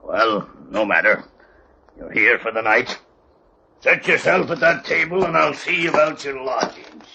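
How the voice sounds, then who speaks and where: An actor playing a gruff, commanding innkeeper of colonial times in an old-time radio drama, with gravelly, husky voice and archaic phrasing. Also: male voice, medium pitch, animated, measured pace, bright, forceful tone, full character voice. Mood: suspicious, brusque. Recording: dull old radio recording.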